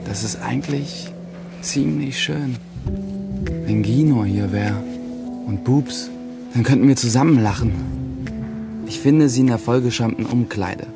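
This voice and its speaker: A man's voice: mid-range voice, unhurried, creaky, long pauses. An actor playing a self-righteous, self-important teenage boy loner, narrating and speaking in a crime radio play. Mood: wry. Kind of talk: first-person audio drama narration and dialogue in a crime radio play. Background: music behind the voice.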